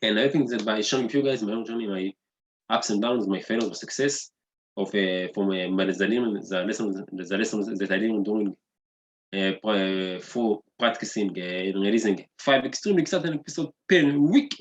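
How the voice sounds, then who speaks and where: A man in his thirties whose first language is Hebrew, hosting his own video podcast with excitable, repetitive talk that keeps calling out to "guys". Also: lowish voice, normal speed. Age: 30s. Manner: excitable, repeats words and says "guys" often. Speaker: podcast host. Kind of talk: enthusiastic solo video podcast intro.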